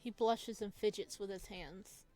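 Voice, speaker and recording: A woman's voice: medium pitch, measured pace, darkish tone. A woman hosting a visual novel playthrough, reading the story and commenting casually. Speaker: game playthrough host. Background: game music.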